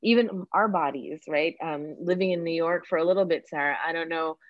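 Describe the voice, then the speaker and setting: Woman, Tagalog speaker. Low voice, informal and self-deprecating reflective chat, slightly lo-fi sound. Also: brisk, no echo. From born in the Philippines, moved to the United States at ten. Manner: informal, self-deprecating, checks in with "you know". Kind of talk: casual, reflective discussion. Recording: slightly dull, lo-fi audio.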